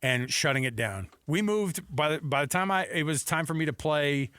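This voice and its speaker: A man hosting a sports talk radio show, telling stories and bantering in an animated, emphatic way. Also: fairly high pitch, moderate pace, dry recording. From Texas and Seattle. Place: radio studio. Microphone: close studio microphone.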